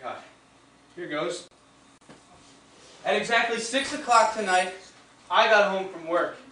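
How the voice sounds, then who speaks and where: Male actor as tipsy flustered husband, very high voice, theatrical storytelling, stage comedy, heavy room echo, distant mic. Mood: nervous, stalling. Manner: theatrical, halting then excitable storytelling. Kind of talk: comic stage monologue. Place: indoor stage of a small theatre.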